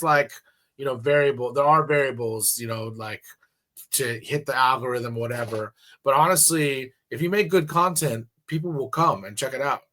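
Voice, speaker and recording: A man's voice: mid-range voice, fairly bright tone. A man hosting a radio interview show, talking in a loose, rambling way with lots of fillers and restarts. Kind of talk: casual interview chat.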